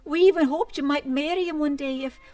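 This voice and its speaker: Casting: woman voicing a chatty, flustered village gossip, high bright voice, music underneath. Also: fairly quick, natural voice. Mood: urgent. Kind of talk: old-time radio drama acting.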